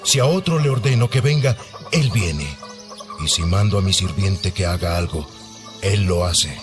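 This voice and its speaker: Low-voiced humble Roman captain played by a man. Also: animated, normal speed, music in the background. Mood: humble, earnest. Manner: deferential, earnest. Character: humble, earnest Roman army captain pleading respectfully. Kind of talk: dramatized audio Bible acting.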